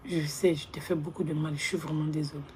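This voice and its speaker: A woman playing a remorseful young woman who begs her ex on the phone. She speaks softly and pleads, often close to a whisper. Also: low-pitched, flat delivery, fast talker, a little hiss or hum, close to an everyday voice. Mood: pleading. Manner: soft, hushed, pleading, often near a whisper. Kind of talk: comedy skit monologue.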